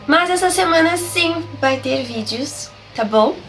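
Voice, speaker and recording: A woman with a Brazilian accent who hosts a horror story channel and narrates slowly and dramatically, her voice nasal and a little hoarse from a flu. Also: high voice, animated, fairly bright tone, soft background music, poor audio. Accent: Brazilian Portuguese. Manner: slow, dramatic storyteller's delivery. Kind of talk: slow scripted horror narration.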